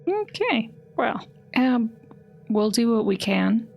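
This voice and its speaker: A woman playing a tabletop roleplaying game, chatting in a halting, informal way. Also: fairly high pitch, big pitch swings, gravelly, lo-fi sound. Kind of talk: casual game chat. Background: background music.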